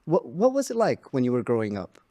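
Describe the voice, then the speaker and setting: A man's voice: medium pitch, huge pitch swings, brisk, warm tone. Grateful, self-deprecating man podcast host. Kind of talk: podcast interview.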